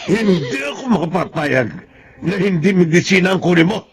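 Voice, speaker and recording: A man's voice: high voice, lively intonation, moderate pace, crowd noise. A man with a Filipino accent playing a stern, hot-tempered, commanding father from a family of doctors in a radio drama. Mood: angry.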